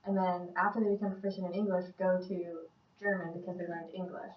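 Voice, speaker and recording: A young woman, a university student, thinking out loud and asking questions in a casual classroom group discussion. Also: fairly low pitch, level tone, fast. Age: young adult. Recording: echoey room from a distant, muffled mic.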